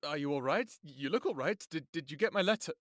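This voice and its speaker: A man's voice: on the high side, lively intonation, fast, fairly bright tone, no room sound, clean studio sound. A man acting a lovestruck, earnest human in an audio drama, speaking in a hesitant, tender way. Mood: nervous, surprised. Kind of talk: first-person audio drama acting and narration.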